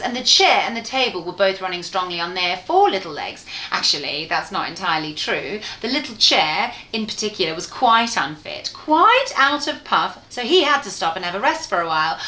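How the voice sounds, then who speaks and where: A woman reading a children's bedtime story aloud in an expressive, playful way, speaking directly to her listener. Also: mid-range voice. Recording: roomy, echoey room sound.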